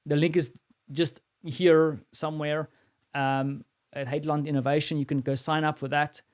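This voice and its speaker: A man's voice: fairly high pitch, little pitch movement, moderate pace, smooth. A man with a South African accent hosting a live stream, talking casually and rambling with many fillers and restarts.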